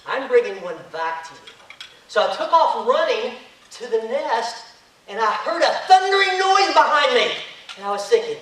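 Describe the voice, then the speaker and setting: Animated man telling a tall tale. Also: very high voice, moderate pace. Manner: animated storyteller, acting out the action. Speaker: contest speaker. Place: hotel conference room. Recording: echoey room, recorded from far off.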